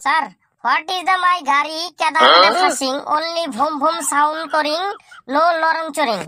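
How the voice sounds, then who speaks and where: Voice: high-pitched, fast, noisy background, lightly acted. A performer voicing a cheeky, dim-witted village schoolboy in a comedy cartoon, whining and arguing back in a rural Bangladeshi accent with mangled English. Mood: bumbling. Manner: whiny back-talk, mangled English mixed into dialect.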